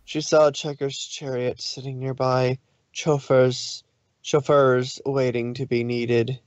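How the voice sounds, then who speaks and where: Guy narrating a fanfic, bit halting, mid pitch, muffled lo-fi mic, no room echo. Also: little pitch movement, a little mellow. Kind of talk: scripted story narration.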